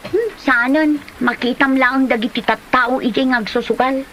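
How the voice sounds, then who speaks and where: A woman's voice: high-pitched, steady tone, moderate pace, close to an everyday voice, poor audio. A woman with an Ilocano Filipino accent acting a studious, exasperated schoolgirl daughter in an amateur comedy drama. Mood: wistful.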